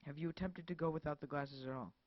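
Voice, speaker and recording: A smug, menacing male caller, as a narrator puts him on, polite and slow, with a smile in his voice. The calm never breaks. Dry, dull lo-fi recording. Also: a little high, dry recording, lightly acted. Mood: calm menace.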